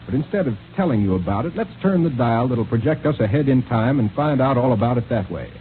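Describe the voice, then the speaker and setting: A man's voice: mid-range voice, lively intonation, fast. Tinny 1930s American male radio announcer. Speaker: announcer and narrator. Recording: tinny 1930s radio recording, faint noise.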